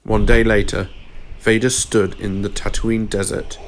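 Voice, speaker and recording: A man's voice: lowish voice, flat delivery, unhurried, slight background noise. A man narrating a scripted audio drama, announcing scenes and cast. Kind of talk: scripted story narration and credits. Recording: loud music behind the voice.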